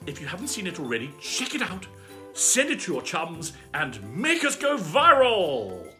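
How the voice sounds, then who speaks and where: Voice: medium pitch, wildly swooping pitch, normal speed, bright, forceful tone, soft background music. A performer voicing a pompous aristocratic cat lord, gushing theatrically and affectionately as host of a live bedtime story show. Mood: excited, grand. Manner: pompous, gushing, luvvie exclamations. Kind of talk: theatrical livestream greeting and shout-outs.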